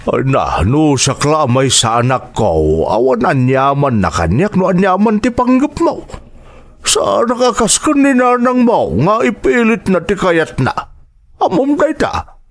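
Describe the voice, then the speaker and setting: Man playing an animated, high-pitched aggrieved father. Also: measured pace. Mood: exasperated. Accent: Ilocano Filipino. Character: aggrieved, protective father, a middle-aged husband. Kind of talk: dramatized Ilocano radio drama acting.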